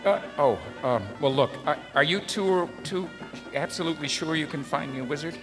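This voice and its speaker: A man's voice: fairly high pitch, brisk, in a large hall, music in the background, lightly acted. An actor playing a polite, bewildered everyman customer who stammers and hesitates in an audio comedy. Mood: bewildered, hesitant. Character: flustered, hesitant everyman customer seeking a wizard. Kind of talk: live audio comedy acting.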